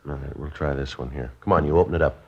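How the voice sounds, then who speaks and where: An actor playing a terse, dogged American police sergeant, deadpan and matter-of-fact in an old radio drama. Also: male voice, a bass voice, fast, breathy edge. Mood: tense. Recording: dull lo-fi vintage radio recording.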